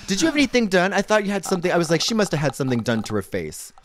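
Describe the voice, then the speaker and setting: Playful, gushing man with a lively voice. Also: high-pitched, dry recording, studio quality. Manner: gushing, quick-witted, playful. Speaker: co-host. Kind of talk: casual podcast chat.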